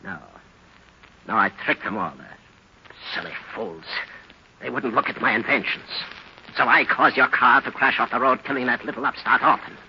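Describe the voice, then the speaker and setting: An actor playing a boastful, mock-courteous mad inventor villain in an old radio thriller. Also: male voice, medium pitch, normal speed, husky. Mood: smug, boasting. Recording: hissy, muffled old radio recording.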